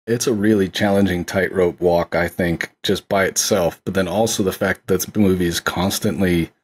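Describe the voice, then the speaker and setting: A man's voice: deep voice, fairly even intonation, normal speed, breathy edge. A man co-hosting a podcast, chatting casually about a film in an agreeable, affirming way. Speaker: podcast co-host.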